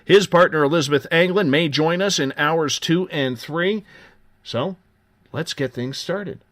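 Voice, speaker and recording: A man's voice: a little high, a little slow, a bit bright, dry recording. A man hosting a live late-night radio talk show, giving upbeat, joking promotional patter. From Canada.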